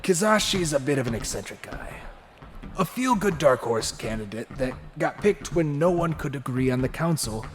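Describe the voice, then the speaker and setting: A man's voice: fairly high pitch, expressive, moderate pace, slight background noise, lightly acted. A man playing a cynical, war-weary ninja commander in a radio drama, blunt and sardonic. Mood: dry, subdued. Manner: sardonic, emphatic. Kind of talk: fan radio drama acting. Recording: music and crowd behind.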